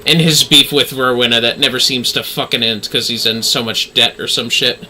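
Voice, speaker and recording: A man's voice: mid-range voice, fairly even intonation, fairly quick, bright, forceful tone, slight background noise, rough recording. A man giving casual, rambling livestream game commentary, swearing now and then. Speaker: game streamer.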